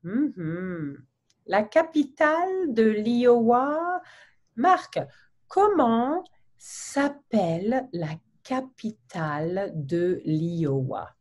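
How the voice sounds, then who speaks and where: A middle-aged woman hosting an interview over a video call, asking simple questions in slow, theatrical teacher-style speech. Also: a little high, big pitch swings, darkish tone. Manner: theatrical, exaggerated questions, gestures broadly. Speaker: host interviewing a colleague. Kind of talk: slow, simple French for learners. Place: home office, indoors, virtual background. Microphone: webcam on a video call.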